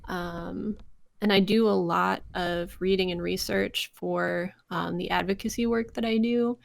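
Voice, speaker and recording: An American woman with some vocal fry, talking casually as an interview guest and telling stories by acting out conversations. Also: fairly low pitch, unhurried, no room sound. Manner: animated storyteller, re-enacts conversations. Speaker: podcast guest, voice actress. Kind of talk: casual interview chat, anecdotes.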